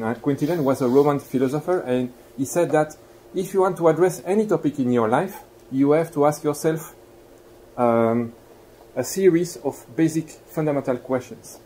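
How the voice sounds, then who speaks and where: A man with a Belgian accent lectures in a mellow, fairly high voice. He explains things courteously and turns questions toward his listeners. It is a live recording with some faint background noise. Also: low-quality recording. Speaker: guest lecturer. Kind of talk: university lecture. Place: lecture room.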